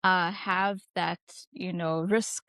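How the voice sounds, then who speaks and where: A woman's voice: lowish voice, fairly even intonation, slow, fairly bright tone, no room sound, studio quality. Chatty South African woman. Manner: chatty, laughs easily, many "like" fillers. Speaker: guest, English teacher. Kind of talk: casual interview storytelling.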